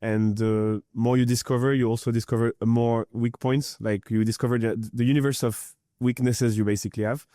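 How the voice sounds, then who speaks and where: A man's voice: mid-range voice, flat delivery, normal speed, few pauses, mellow, no room sound, clean studio sound. A man hosting a podcast interview, friendly and appreciative as he asks open questions. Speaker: podcast host.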